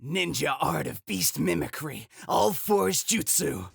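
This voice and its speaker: A man voicing a gruff, brash young male ninja with a dog-like edge, yelling his lines. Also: on the high side, animated, takes it slowly, no room sound, clean studio sound, pitched above the performer's own voice, exaggerated voice. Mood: brash battle shouts. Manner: shouting, brash.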